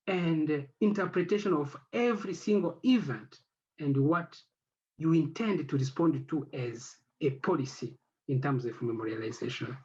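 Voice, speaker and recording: A man whose first language is French, speaking on an academic panel as the head of an institute. He talks haltingly and searches for words, with many fillers. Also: high-pitched, darkish tone, lo-fi sound.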